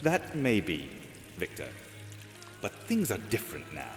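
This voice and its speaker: A man's voice: on the low side, huge pitch swings, a little slow, exaggerated voice. A man voicing a suspicious, anxious vampire adviser in a fantasy audio drama, cautious, portentous and dramatic. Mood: ominous. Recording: echoey long reverb, music bed, water sounds.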